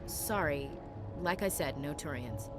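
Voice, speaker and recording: A low-voiced tough female marine. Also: lively intonation, over loud music. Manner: brisk, matter-of-fact military briefing. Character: tough young female soldier, blunt and dutiful. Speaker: marine reporting to commander.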